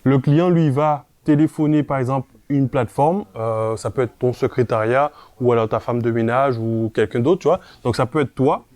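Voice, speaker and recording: A man's voice: medium pitch, normal speed, few pauses, a little mellow. A man presenting an informal tutorial, explaining step by step, speaking directly to the viewer and often checking they follow. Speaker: presenter of a property investment tutorial.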